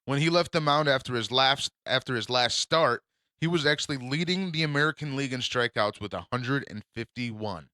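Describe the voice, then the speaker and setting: A man with a low yet bright, forceful voice gives sports picks on a casual podcast. He sounds confident and trades jokes with his co-host. The recording is dry and close. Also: moderate pace, no room sound. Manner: confident, joking, banter.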